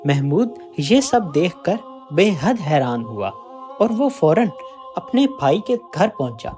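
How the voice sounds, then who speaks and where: A narrator telling a scripted moral story in an expressive, audiobook-like way. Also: mid-range voice, takes it slowly. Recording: music behind the voice. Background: background music.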